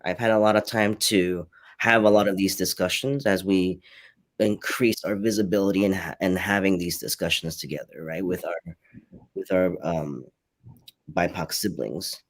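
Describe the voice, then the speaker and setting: A man's voice: deep voice, steady tone, unhurried. A man who grew up speaking Cantonese, from Hong Kong, speaking earnestly as a panelist and often repeating words as he gathers his thoughts. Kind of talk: candid personal reflection in a panel chat.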